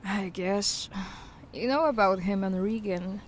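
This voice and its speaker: A woman's voice: medium pitch, unhurried, slight background noise, lightly acted. A woman acting a guarded, inquisitive young woman monster hunter in an audio drama. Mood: subdued.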